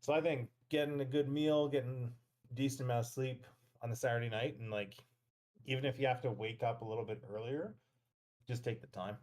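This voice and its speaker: A man in his thirties talks casually on a podcast panel. His pitch is average for a man, and he speaks in an informal, conversational way. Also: steady tone, brisk, darkish tone. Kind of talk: casual chat.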